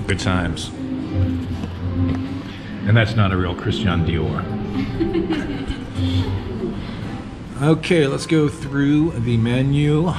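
A man with a fairly low voice chats as a vlog host in a small restaurant. His delivery is conversational and unscripted. Loud music plays behind him. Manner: conversational, off-the-cuff. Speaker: vlogger, host. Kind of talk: casual vlog talk. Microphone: handheld camera, behind the lens. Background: loud background music.

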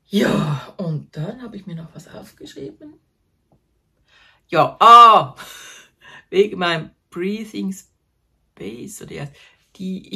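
Gravelly-voiced Swiss woman chatting about knitting. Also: mid-range voice, bright, forceful tone. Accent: Swiss German. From Switzerland. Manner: chatty, self-deprecating, restarts and fillers, laughs at herself. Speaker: knitting vlogger. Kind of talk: casual chat to camera. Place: small craft corner at home.

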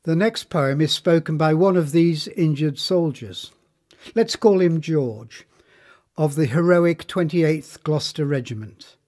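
A man reading a scripted documentary narration in a formal, measured manner. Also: on the high side, darkish tone.

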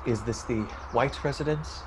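A man playing an awkward, reluctant gentleman messenger, polite and repeating himself, in a radio drama. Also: medium pitch, normal speed, background noise, natural voice. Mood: uneasy, formal.

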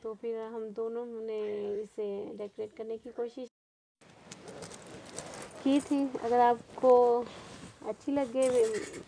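A woman's voice: fairly high pitch, noisy background. A middle-aged woman, giving a casual, rambling voice-over as a home cooking vlogger. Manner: conversational, rambling.

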